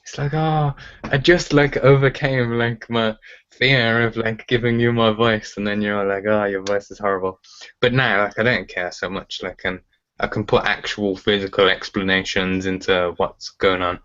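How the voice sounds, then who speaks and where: A man's voice: lowish voice, little pitch movement, moderate pace, fairly bright tone, clear, resonant tone, highs cut off. A man talking as an interview guest, rambling and filling with "like" and "uh". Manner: rambling, fills with "like" and "uh". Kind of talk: casual interview chat.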